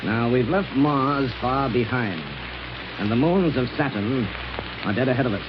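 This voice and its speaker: A man's voice: medium pitch, moderate pace, close to an everyday voice. An American man playing a scheming, commanding arch-criminal space pilot in a 1930s radio serial. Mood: confident. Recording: muffled, noisy 1930s radio recording.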